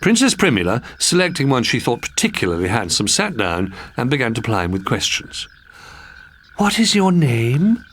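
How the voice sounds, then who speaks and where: An Englishman narrating a children's story in a wry, expressive storytelling manner. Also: medium pitch, brisk, few pauses. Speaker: storybook reader.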